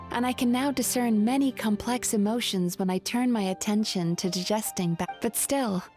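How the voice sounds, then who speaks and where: A woman playing a gentle, composed and empathetic young healer in an anime video game, speaking softly in a calm, thoughtful way. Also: a little high, natural voice.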